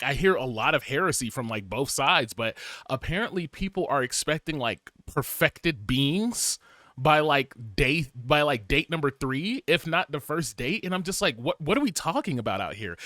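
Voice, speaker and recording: A man in his twenties chatting casually to camera, rambling and animated, with lots of fillers and laughs. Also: on the high side, no echo, clean studio sound. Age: 20s. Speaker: vlogger.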